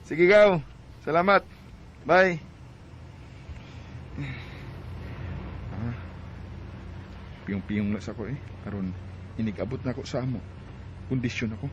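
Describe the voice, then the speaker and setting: A man's voice: mid-range voice, animated, moderate pace, pauses often, lo-fi sound, noisy background, close to an everyday voice. An actor playing a shy, friendly young man in a radio drama, with a Filipino accent. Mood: casual, tipsy. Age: young adult. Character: friendly, shy young man courting, telling his life story.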